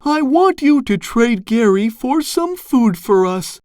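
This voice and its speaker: A woman's voice: fairly high pitch, expressive, slow pace, a little mellow, no room sound, studio quality, pitched above the performer's own voice. A woman voicing a bossy, scolding cartoon mother pufferfish in a children's storybook, commanding and insistent. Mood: coaxing, insistent. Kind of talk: children's storybook character reading.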